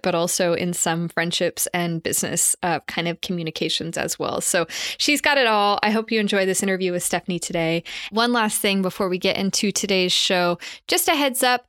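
A woman's voice: medium pitch, a bit bright, no echo, clean studio sound. A young Canadian woman hosting her podcast, chatting casually and enthusiastically with frequent restarts. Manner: enthusiastic, gushing, many restarts. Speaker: podcast host.

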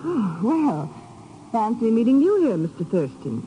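A woman's voice: fairly high pitch, big pitch swings, unhurried, warm tone, poor audio. A woman playing a cool, teasing, self-assured reporter in a 1950s radio drama. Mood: sly, teasing. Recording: old radio broadcast, faint noise.

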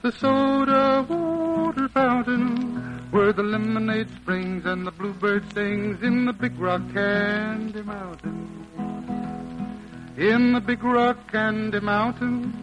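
A man's voice: high voice, muffled recording. A man playing a genial, folksy hobo schemer in a 1940s radio drama with folk singing.

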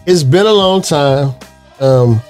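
A playful man co-hosts a relaxed podcast, talking slowly and at an unhurried pace. He jokes, laughs and sometimes drifts into a snatch of song. There is faint music behind him. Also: a little high. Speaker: podcast co-host. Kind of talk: casual chat.

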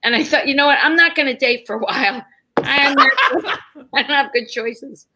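A woman with a New York accent, telling lively stories as a podcast guest and acting out the people in them. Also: high voice, normal speed, very noisy. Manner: animated, acts out voices in her stories. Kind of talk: casual storytelling chat.

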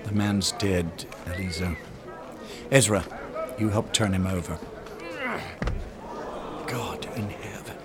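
A man playing a sober, matter-of-fact colonial doctor in a period radio drama, with a measured, explanatory delivery. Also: low voice. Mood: grave. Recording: clear ambient noise behind.

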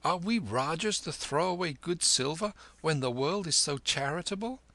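A man reading aloud, voicing a clever teenage boy who pleads and wheedles in a high, eager voice. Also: animated, moderate pace, sharp tone, no echo. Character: street-smart teenage boy, pleading and quick.

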